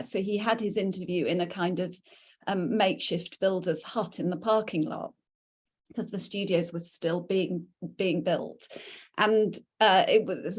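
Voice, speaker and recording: Halting British woman, mid-pitched voice. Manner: hesitant, halting delivery with repeated words. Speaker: guest speaker. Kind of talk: informal talk with personal reminiscence.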